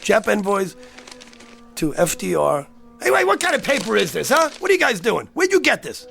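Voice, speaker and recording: A man's voice: high voice, wildly swooping pitch, normal speed, creaky, fairly bright tone, heavily acted. A man playing a brash, argumentative hard drinker in a radio drama, with a pushy, sarcastic way of talking. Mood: agitated. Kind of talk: dramatized radio-play dialogue. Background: faint music.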